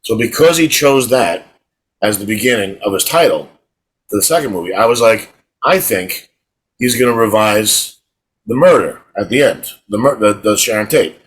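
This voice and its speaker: A man's voice: lowish voice, expressive, normal speed, slightly rough, poor audio. A middle-aged man co-hosting a podcast in casual chat, telling rambling stories and restarting his words. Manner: rambling storyteller, repeats words when starting. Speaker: podcast co-host.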